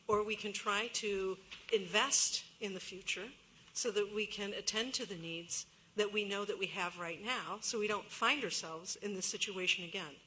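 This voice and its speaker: A woman speaking at a public meeting hall, explaining figures in a measured, careful way and often pausing on fillers. Her tone is bright and forward. A light hum of room noise runs behind her. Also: mid-range voice. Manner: measured, with many fillers and restarts. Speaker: school administrator answering questions.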